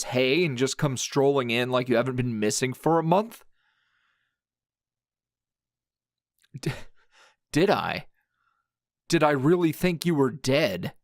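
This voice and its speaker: Man playing a slow, world-weary anime hero. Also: mid-range voice, no echo, clean studio sound. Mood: shocked, upset. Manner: sardonic, halting, emotionally raw. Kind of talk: ASMR roleplay dramatic acting.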